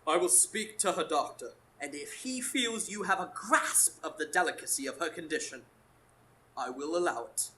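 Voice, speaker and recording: Resentful upper-class gentleman, male actor, stern, haughty and sharp-toned, live radio drama. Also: on the high side, expressive, moderate pace, rough recording. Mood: grudging, stern. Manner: haughty, clipped, formal. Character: stern, resentful, hot-tempered upper-class Edwardian man. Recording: live stage recording, faint ambient noise.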